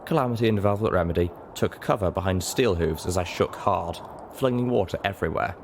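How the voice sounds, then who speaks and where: A man reading a story aloud in expressive scripted narration. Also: low voice, fairly quick, slight background noise. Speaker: audiobook narrator.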